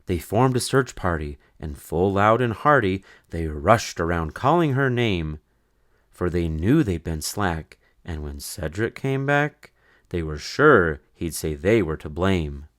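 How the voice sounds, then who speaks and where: A man with a low voice reads a children's rhyming tale aloud. His delivery is unhurried and sing-song, swinging widely up and down in pitch. He keeps the verse expressive and measured, in the manner of a storybook read-aloud. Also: no echo, studio quality. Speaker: storybook reader.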